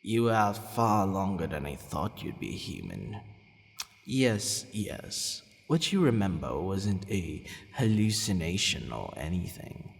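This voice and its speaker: A man acting a haughty but softening vampire lord in an ASMR audio roleplay, brooding, formal and given to hesitant sighing. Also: low voice, sing-song, slow pace, vocal fry, heavily acted. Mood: gently reassuring. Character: possessive male vampire lord, haughty yet secretly softening. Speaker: roleplay voice actor. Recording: long reverb, faint background noise.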